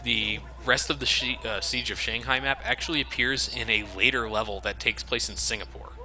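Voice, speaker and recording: A man's voice: medium pitch, fairly even intonation, brisk, sharp tone. A man hosting a let's play with casual, sardonic, sweary game commentary.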